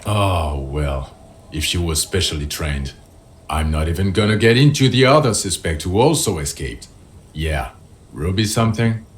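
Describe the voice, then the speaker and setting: An actor playing a stern, skeptical senior police officer with a blunt, sarcastic manner in a video game. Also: male voice, deep voice, lively intonation, moderate pace. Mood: scornful.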